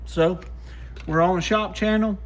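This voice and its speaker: Slightly high-voiced man tinkering, noisy recording. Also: steady tone, measured pace. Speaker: radio shop host testing gear. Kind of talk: casual hands-on demonstration, thinking aloud. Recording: clear background noise with clicks.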